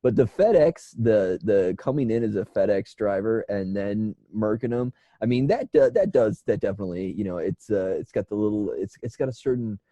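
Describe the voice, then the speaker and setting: A man co-hosting a casual comedy podcast, riffing in a halting, repetitive way with plenty of swearing. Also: lowish voice, lively intonation, warm tone, no room sound, lo-fi sound. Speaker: podcast host.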